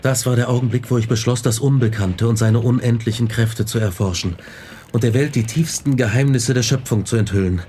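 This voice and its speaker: An actor playing an earnest, brooding young scientist in a radio drama, speaking with measured intensity. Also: male voice, mid-range voice, mellow, natural voice. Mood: brooding, reflective. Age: young adult. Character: earnest, brooding young male scientist recounting his doom. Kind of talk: radio drama narration and dialogue. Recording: clear background noise and ambience.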